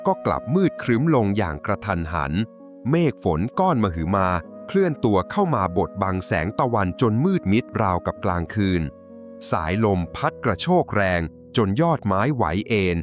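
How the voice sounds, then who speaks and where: Male storyteller, low voice, very lively intonation, dramatic suspenseful folk tale narration, music underneath. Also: moderate pace. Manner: expressive, suspenseful.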